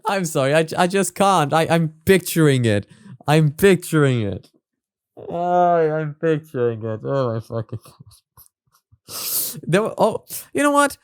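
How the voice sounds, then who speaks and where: A man hosting a reaction video with casual spoken commentary, halting and repeating his phrases as he goes. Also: a little high, big pitch swings, smooth, no room sound, studio quality.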